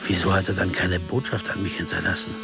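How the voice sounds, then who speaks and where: A brooding musician turned sleuth in a radio crime play. His voice sits fairly low, reflective and a little hesitant, with music clearly underneath. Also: little pitch movement, fast talker. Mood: brooding, uneasy. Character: worried, brooding male jazz pianist turned amateur sleuth. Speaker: actor playing the narrator and lead. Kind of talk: German crime radio drama, narration and dialogue.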